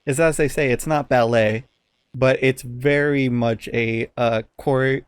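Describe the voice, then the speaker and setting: A man chatting casually as a podcast host, telling things in a halting, hesitant way. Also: medium pitch, steady tone, smooth, no room sound.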